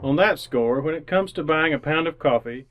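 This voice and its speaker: A man's voice: on the high side, normal speed, highs cut off. A man announcing a scripted old-time radio show. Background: brass music.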